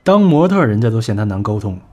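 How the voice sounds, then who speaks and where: Sneering, sharp-tongued man played by an actor in audio drama. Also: lowish voice, very animated, fast talker. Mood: scornful. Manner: sneering, blunt, sarcastic. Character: cynical, sharp-tongued male talent manager, mocking and scornful. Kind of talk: Chinese audio drama acting.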